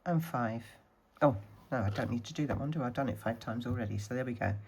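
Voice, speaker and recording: A woman from the Scottish Highlands, a little snuffly from a cold, chatting casually through her budgeting challenges and counting money aloud. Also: fast talker, heavy background noise. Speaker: budgeting vlogger.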